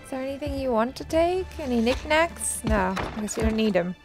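A woman's voice: high voice, lively intonation, fairly quick. A young woman giving casual reaction commentary as a host while watching a video game. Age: young adult. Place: indoor studio room. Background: game soundtrack music.

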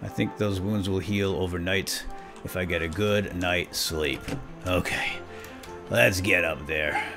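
A man's voice: deep voice, fairly even intonation, a little slow, over music. A man doing casual, playful let's play commentary, talking to himself and his viewers with exclamations. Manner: playful, self-chatting, exclaiming. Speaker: host of a gaming series.

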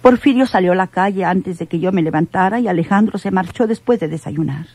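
An actress with a Mexican accent playing a frightened, hesitant underling of a crime boss in a radio drama. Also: female voice, lowish voice, a little fast, a little mellow, breathy edge. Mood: nervous. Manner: hesitant, timid, pleading.

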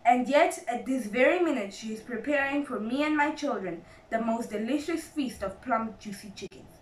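A woman voicing a sly, confident, gallant father fox in a storybook, with assured, courtly and deliberate phrasing. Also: a little high, lively intonation, echoey room, far from the mic, a little hiss or hum, lightly acted, poor audio. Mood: calm, teasing.

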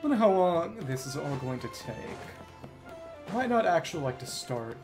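A woman's voice: low-pitched, big pitch swings, moderate pace. A woman game streamer reading game dialogue aloud and adding casual commentary. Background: soft game music.

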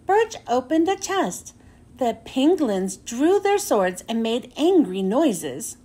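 A woman's voice: sits high, very animated, takes it slowly, warm tone, slightly rough. A woman reads a children's storybook aloud, phrasing carefully and expressively for young listeners. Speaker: children's book reader and host.

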